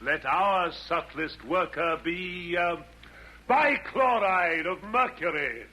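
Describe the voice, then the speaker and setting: Casting: an evil emperor demon, slow, forceful, gleefully rhyming, vintage radio acting. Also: male voice, high-pitched, expressive, full character voice. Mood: sly, gleeful. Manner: deliberate, sing-song rhymed delivery. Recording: old 1940s radio broadcast.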